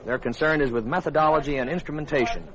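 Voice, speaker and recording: A middle-aged American man, an army officer, gives formal, measured explanations in an interview. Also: on the high side, poor audio. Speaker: army colonel, head of a test board, interviewee. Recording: outdoor demonstration rehearsal noise behind, crowd. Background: military demonstration rehearsal, crowd sounds.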